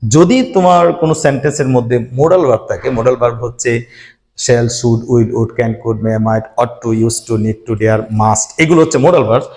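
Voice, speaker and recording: A male teacher speaking at an ordinary mid pitch, walking students through grammar rules one step at a time. He addresses the class directly and breaks off between words while writing examples out. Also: normal speed, a little mellow. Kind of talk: whiteboard grammar lesson.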